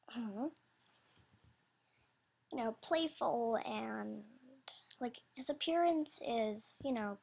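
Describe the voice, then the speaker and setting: Female creator, higher-pitched but breathy, casual and scatterbrained, halting delivery for an off-the-cuff audition announcement. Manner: halting, scatterbrained, self-interrupting. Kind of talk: casual unscripted rambling announcement.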